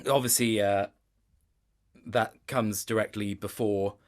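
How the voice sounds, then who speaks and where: A man's voice: fairly low pitch, steady tone, slow pace. A man speaking as a guest voice actor in an interview, explaining his work reflectively in stop-start phrases. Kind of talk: interview chat about performance work.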